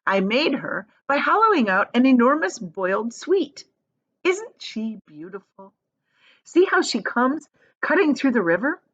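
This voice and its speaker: A woman's voice: fairly high pitch, sing-song, a little slow, fairly bright tone, muffled recording, voice pushed up, heavily acted. A woman voicing an exuberant, eccentric male factory owner in a children's storybook, bossy and delighted, with showy, urging exclamations. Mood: proud, cheerful. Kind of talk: children's storybook read-aloud.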